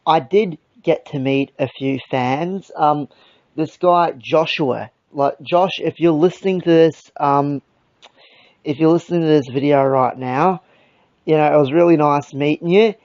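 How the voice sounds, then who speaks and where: A young Australian man with a croaky voice, hosting a casual podcast chat, rambling and swearing with lots of fillers. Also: fairly high pitch, a little slow, darkish tone, no room sound. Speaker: podcast host. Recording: dull lo-fi sound.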